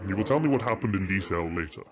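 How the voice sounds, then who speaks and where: A man's voice: low voice, animated, fast talker, a crowd in the background. A man playing an effusive, theatrical ancient dragon king, a doting and teasing father, in an audio drama. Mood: fatherly, stately. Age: older.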